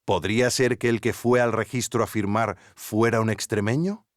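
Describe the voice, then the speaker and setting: An actor playing an earnest investigative podcast narrator with a Spanish accent from Spain, theatrical, suspenseful and tongue-in-cheek. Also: male voice, fairly low pitch, fairly even intonation, normal speed, no echo, studio quality, lightly acted. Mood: intrigued. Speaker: host. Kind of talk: scripted documentary narration.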